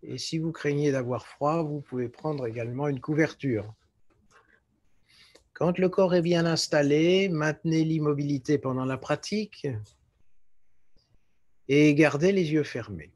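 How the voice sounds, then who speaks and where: A man with a slightly high-pitched voice leads a guided relaxation. His delivery is very slow and calm, with short, measured phrases set apart by pauses, as a yoga nidra teacher would give them. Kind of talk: guided relaxation meditation instruction.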